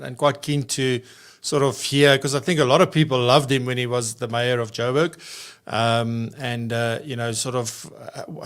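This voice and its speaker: Gravelly host, halting questions. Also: male voice, medium pitch, fairly bright tone. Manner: halting, hesitant questions with many fillers. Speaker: podcast host. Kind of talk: podcast interview questions.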